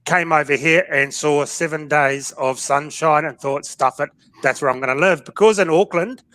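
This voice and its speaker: A man's voice: a little high, moderate pace, bright, forceful tone. A man, a guest chatting casually and jokily on a live stream. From New Zealand.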